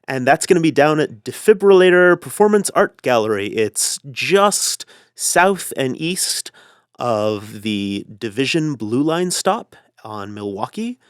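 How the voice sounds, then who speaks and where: Casual man with a dry, mid-pitched voice. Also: big pitch swings, a little slow, smooth, dry recording. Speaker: interview guest. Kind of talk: casual, halting announcements and chat.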